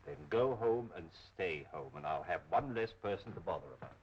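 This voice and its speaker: A courteous, shrewd private detective in a 1930s mystery film. He questions quietly and politely in a measured voice. The old soundtrack is muffled with a faint hiss. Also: sits low, expressive. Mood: calm, probing.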